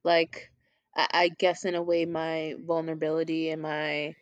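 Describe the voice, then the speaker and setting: A woman's voice: deep voice, little pitch movement, moderate pace, no echo, lo-fi sound. A woman co-hosting a casual conversation, talking openly and reflectively with frequent restarts.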